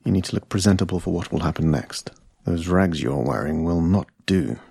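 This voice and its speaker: An actor playing a cold, menacing interrogator in an audio drama, commanding and gravelly. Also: male voice, deep voice, brisk, warm tone, no room sound, exaggerated voice. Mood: cold menace.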